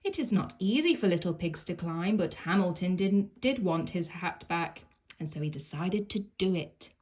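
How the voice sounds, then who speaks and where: A woman's voice: fairly low pitch, expressive, moderate pace, darkish tone. Woman reading a children's picture book. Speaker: storyteller reading aloud. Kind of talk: expressive children's picture book read-aloud.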